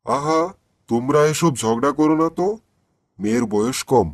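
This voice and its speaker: A man plays a worried, devout father of middle age in a cartoon. His voice sits at an ordinary male pitch, coaxing and admonishing with patience. The recording has a dry, close sound. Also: normal speed, no room sound, close to an everyday voice. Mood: pleading. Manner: gentle pleading, admonishing. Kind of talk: cartoon dialogue acting.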